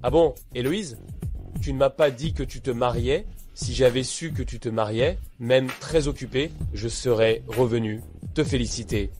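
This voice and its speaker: An actor playing a polite, concerned old classmate in a dubbed melodrama. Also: male voice, medium pitch, moderate pace, a little mellow, soft background music, close to an everyday voice. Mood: polite, subdued.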